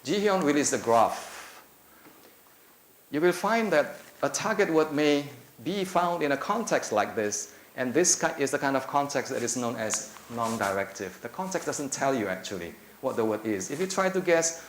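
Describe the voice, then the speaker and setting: A middle-aged man from Indonesia, a native Indonesian speaker, giving a conversational lecture to teachers, with many filler pauses, holding a handheld mic in a lecture room. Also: mid-range voice, expressive. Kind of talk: conference talk. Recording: echoey room with faint noise.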